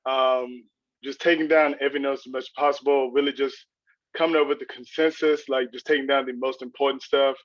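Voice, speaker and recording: A man's voice: mid-range voice, flat delivery, a little fast, no echo. A young man, a student, chatting casually and explaining in an informal, repetitive way. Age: young adult. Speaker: student writer's assistant. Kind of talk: casual chat. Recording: dull, dry lo-fi microphone.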